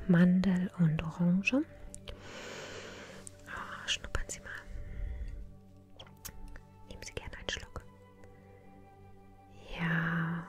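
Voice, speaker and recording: A woman whispers gently and politely close to the microphone, as a shopkeeper in an ASMR roleplay. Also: lowish voice. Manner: gentle, unhurried, polite customer service. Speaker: ASMR creator playing a tea shop owner. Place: small indoor room. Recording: soft music behind close whisper. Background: relaxing music.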